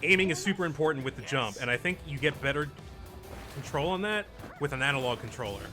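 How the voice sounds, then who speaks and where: A man's voice: a little high, lively intonation, fast talker, bright, forceful tone, soft background music. A man giving casual commentary as he hosts a video game playthrough. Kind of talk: casual let's play commentary.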